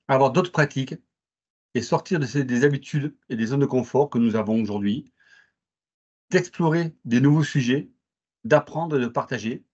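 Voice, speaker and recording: A man with a southern French accent, answering interview questions in a measured way, with frequent hesitations and restarts. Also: mid-range voice, a little mellow, husky, lo-fi sound. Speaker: interviewed guest.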